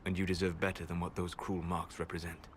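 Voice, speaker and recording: An actor playing a guarded, scholarly male elf mage who speaks calmly and in a measured way, in game cutscene dialogue. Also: deep voice, a little hiss or hum, natural voice. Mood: tender. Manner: calm, measured, soft-spoken. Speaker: video game character.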